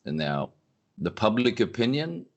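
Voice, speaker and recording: Brief: male podcast guest, low deep voice, loose animated delivery, lots of self-corrections. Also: unhurried. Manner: animated, rambling, frequent restarts. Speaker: industry analyst guest. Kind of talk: casual podcast conversation.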